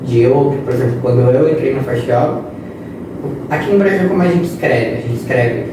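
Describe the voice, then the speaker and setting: A man's voice: mid-range voice, a little fast. Man in his twenties, guest, explanatory tone, noisy background. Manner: explains with examples and quoted phrases. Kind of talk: casual interview talk. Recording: echoey room, distant mic, noisy.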